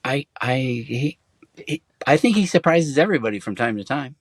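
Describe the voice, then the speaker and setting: A middle-aged man raised in Germany, answering interview questions in a halting, hesitant way with many fillers. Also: medium pitch, expressive, no room sound, studio quality. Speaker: interview guest. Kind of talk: casual conversation.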